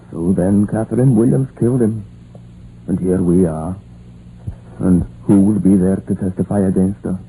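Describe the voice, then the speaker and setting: Young Welsh miner, old radio play. Stubborn, argumentative, wistful; dark, fairly low voice, noisy archival sound. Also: male voice, unhurried, pauses often. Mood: defensive, bitter. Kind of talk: 1950s radio drama acting. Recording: noisy, muffled vintage radio recording.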